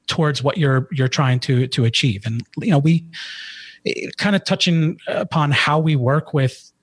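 A man's voice: fairly high pitch, unhurried, husky, no room sound. A middle-aged man, a financial advisor, explaining plans in a podcast chat with many restarts and fillers. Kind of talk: podcast conversation.

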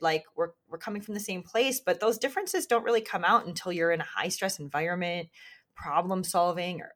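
A woman co-hosting a conversation, speaking earnestly and reflectively, with many fillers as she works out her thoughts. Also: fairly low pitch. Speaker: podcast co-host and community leader. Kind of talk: casual conversational interview.

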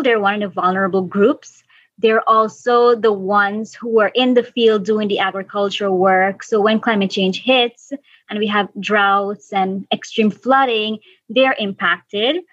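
A woman's voice: mid-range voice, fairly bright tone, dry recording. Woman from Guyana, thirties, earnest, a bit muffled, dry. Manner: earnest, thinks aloud with restarts. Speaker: guest, environmental officer. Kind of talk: casual interview answers.

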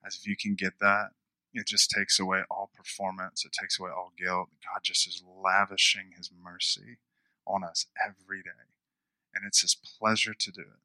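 A man preaching a conversational sermon, repeating phrases for emphasis, with a smooth radio-style voice. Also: sits low, sharp tone, dry recording, rough recording. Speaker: pastor.

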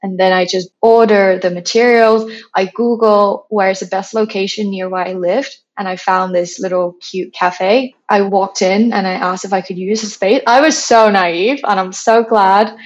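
A woman's voice: mid-range voice, normal speed, few pauses. A woman from Thailand in her thirties, talking casually as an interview guest and filling her story with "like". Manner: chatty, frequent "like", animated retelling. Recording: slightly dull lo-fi sound.

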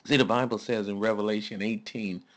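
A man preaching a conversational sermon in an emphatic, folksy way, often checking in with the listener with quick rhetorical questions. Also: lowish voice, fairly even intonation. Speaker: pastor.

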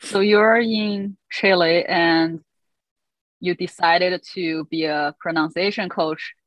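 A woman's voice: lowish voice, fairly even intonation, slow pace, dry recording. A woman hosting a casual interview, asking curious, encouraging questions and giving short backchannels. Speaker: interviewer, host.

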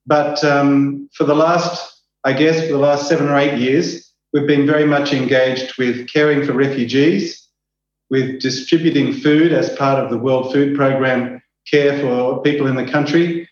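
A man with an Australian accent, a country director for an aid group, answering interview questions in a relaxed way with fillers and restarts. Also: medium pitch, unhurried. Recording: very echoey, dull, faint noise.

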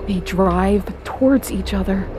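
An actress playing a woman survivor of an invasion, narrating poetically and deliberately in audio theatre. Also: medium pitch, background noise, close to an everyday voice. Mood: breathless awe. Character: Izzy, woman survivor fleeing by boat, awed narrator. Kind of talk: dramatic first-person audio theatre narration.

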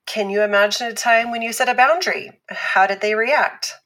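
An actress playing a calm, patient abuse survivor who explains things in a measured, instructive way in a scripted fiction podcast. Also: female voice, a little high, close to an everyday voice.

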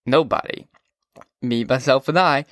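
A man's voice: medium pitch, unhurried, a bit bright, no room sound, clean studio sound, natural voice. A man doing a slurring, stammering drunk teen boy.

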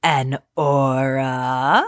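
A woman playing an imperious, whimsical sorceress in a comedic fantasy audio drama, with a melodramatic, haughty, drawn-out delivery. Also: a bass voice, fairly even intonation, heavily acted. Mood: teasing, aloof. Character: imperious, theatrical, whimsical sorceress mentoring an apprentice.

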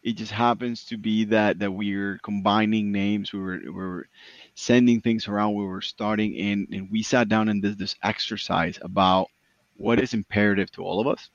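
Low gravelly man, earnest business talk. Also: steady tone, normal speed, dry recording, lo-fi sound. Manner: earnest, repeats words, tag "right?". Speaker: consulting firm founder. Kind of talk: business interview.

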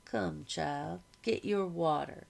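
A woman voicing a kindly, wise old grandfather in a storybook reading, speaking slowly, quietly and deliberately. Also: fairly low pitch, vocal fry, full character voice. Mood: gentle, wise. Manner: slow, quiet, deliberate delivery.

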